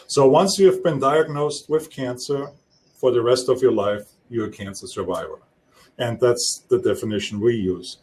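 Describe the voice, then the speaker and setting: Middle-aged austrian guy talking through his work, calm steady delivery, accent clear. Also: mid-range voice. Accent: Austrian German. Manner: explanatory, measured, some restarts. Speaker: guest speaker. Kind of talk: live-streamed talk.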